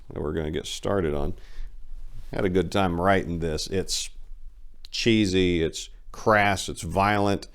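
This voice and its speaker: A man's voice: sits low, smooth. A man hosting and narrating his own short stories in a dramatic, scripted reading style. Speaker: host, author and narrator.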